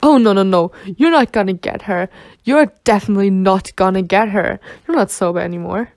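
A woman's voice: mid-range voice, brisk, darkish tone, dry recording, clean studio sound, close to an everyday voice. A woman acting a protective, exasperated, foul-mouthed twin sister who is tender with her girlfriend, in an ASMR roleplay. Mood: angry, bossy. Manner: sweary, sighing, half-muttering. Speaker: roleplay voice actor.

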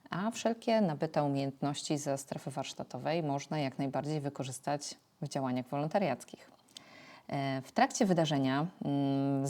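A woman's voice: rumbling low, dark tone. A woman hosting a podcast, giving prepared narration with small hums between phrases. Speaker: podcast host. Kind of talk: prepared narration introducing an episode.

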